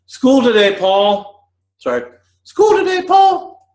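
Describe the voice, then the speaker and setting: A man voicing an anxious mother in an old radio drama, who calls out and pleads haltingly for her son. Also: very animated, a little mellow, roomy sound, full character voice. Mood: calling. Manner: pleading, stammering, raised high voice.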